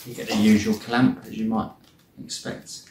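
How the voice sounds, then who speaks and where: A man's voice: on the low side, little pitch movement, normal speed, darkish tone. A man giving a chatty, informal product commentary as he unboxes and reviews gear. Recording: roomy indoor sound, faint noise.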